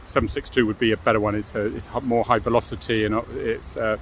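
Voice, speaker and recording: A man's voice: fairly low pitch, little pitch movement, fast talker, slight background noise. A middle-aged British man giving an informal, explanatory monologue as a former police officer, frank and matter-of-fact, often adding tag questions.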